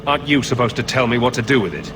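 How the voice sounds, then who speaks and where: A man's voice: medium pitch, very fast, heavy background noise, close to an everyday voice. A man playing a curt, impatient human adventurer in a fantasy video game, with a brusque, direct manner. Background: loud music.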